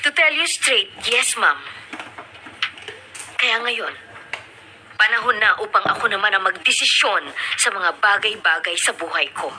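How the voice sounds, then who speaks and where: Doting, burdened mother, Filipino actress, lively intonation, radio drama with heavy background noise. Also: mid-range voice, measured pace, pauses often, lightly acted. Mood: bitter, guilty. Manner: expressive, mixes English into Tagalog. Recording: very noisy radio drama recording, clicks.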